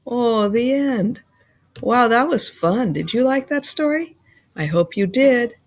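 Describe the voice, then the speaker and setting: A woman reading a children's picture book aloud as a school librarian, with deliberate, playful emphasis. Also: a little high, animated.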